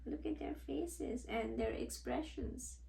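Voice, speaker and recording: A woman reading a storybook aloud to children in an expressive, deliberate way, with explanatory asides. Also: a little high, vocal fry, slight background noise. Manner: deliberate, dramatic pauses and repeats. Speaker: storybook reader and teacher. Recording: roomy, echoey sound.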